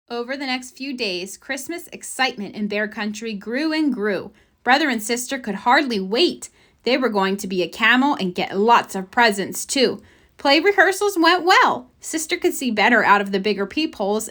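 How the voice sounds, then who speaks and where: A woman's voice: on the high side, a bit bright. A woman reading a children's picture book aloud as a storybook narrator. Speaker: storybook reader.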